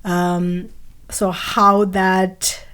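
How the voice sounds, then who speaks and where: A woman's voice: medium pitch, level tone, very slow, fairly bright tone, clear, resonant tone. A young woman from Nepal, a guest on a podcast interview, speaking hesitantly with many fillers and restarts. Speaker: guest, PhD candidate.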